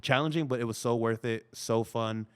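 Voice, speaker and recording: A man's voice: medium pitch, normal speed, clear, resonant tone. A man whose first language is Spanish, an actor chatting easily as a podcast guest with many "you know" fillers. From United States. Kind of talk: casual interview chat.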